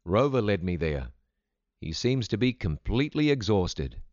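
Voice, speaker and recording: A man's voice: lowish voice, very animated, a little slow, darkish tone, no room sound, muffled recording. A weary storybook father and hunter , acted by a man. He speaks tersely and plainly, in a matter-of-fact way, with very little room sound.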